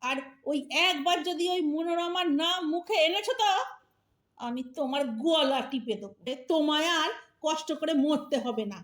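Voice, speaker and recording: A woman acting a sharp-tongued elderly wife in an audio drama, sarcastic and nagging but secretly caring. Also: high voice, moderate pace. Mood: scolding, exasperated. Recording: echoey room.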